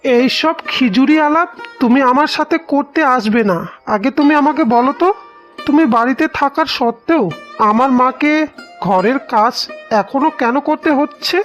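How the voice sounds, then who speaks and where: Cartoon young husband played by a woman: high-pitched, stern, indignant devoted son who scolds, slightly muffled recording. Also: normal speed, close to an everyday voice. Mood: angry, demanding. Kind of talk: cartoon dialogue acting. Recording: dull audio with music behind.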